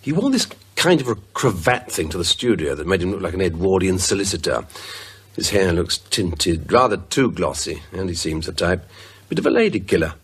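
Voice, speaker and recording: An actor playing an irritable, self-assured man with a brisk, dryly sardonic manner in a radio drama. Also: low voice, very animated, fairly bright tone, breathy edge, exaggerated voice. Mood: disdainful. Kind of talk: naturalistic radio drama acting.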